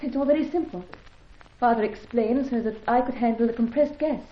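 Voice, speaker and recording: A woman's voice: fairly high pitch, a little fast, slight background noise, natural voice. An actress playing an ingenuous young woman, a scientist's devoted daughter, in a radio adventure serial, earnest, anxious and dramatic. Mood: earnest, confiding. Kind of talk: old-time radio adventure drama acting. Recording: dull, echoey vintage radio recording.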